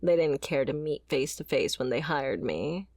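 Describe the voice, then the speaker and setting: A woman's voice: low-pitched, expressive, normal speed, darkish tone, dry recording, clean studio sound. A woman playing a fiery, bitter mercenary and wounded former bodyguard in a confrontational, sardonic audio roleplay. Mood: sullen, dismissive. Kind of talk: dramatic ASMR roleplay acting.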